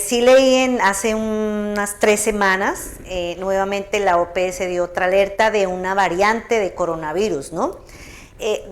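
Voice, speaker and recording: A woman, an author, explaining patiently to an audience and often checking in with a "¿no?". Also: medium pitch. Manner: measured, explanatory, frequent "¿no?" tags. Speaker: book author in a public talk. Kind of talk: explanatory talk answering audience.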